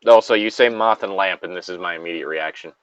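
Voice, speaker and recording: A man's voice: low-pitched, fast talker, clear, resonant tone, no room sound, highs cut off. A man in a casual, joking group chat, with a crude, profane way of talking.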